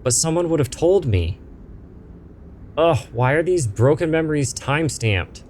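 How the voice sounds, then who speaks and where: Man voicing an irritable, snappy young woman, pitched up. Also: animated, measured pace, noisy background. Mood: irritated. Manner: exasperated, snappy. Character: irritable, sarcastic young woman, the player's cousin.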